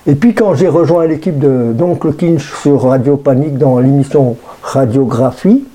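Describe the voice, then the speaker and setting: Man, Brussels accent, around 60, deep dark tone, halting casual talk. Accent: Belgian French, Brussels. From Belgium. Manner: hesitant, many fillers and restarts. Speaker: radio guest. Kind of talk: casual radio interview.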